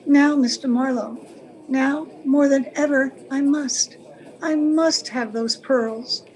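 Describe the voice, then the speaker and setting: Noir client, played by a woman: high voice, very slow halting delivery, wistful, lovelorn radio melodrama. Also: steady tone, darkish tone, poor audio. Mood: wistful, troubled. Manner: halting, breaking phrases, earnest. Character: wistful, sentimental married woman, pleading and lovelorn. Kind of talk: old-time radio melodrama acting.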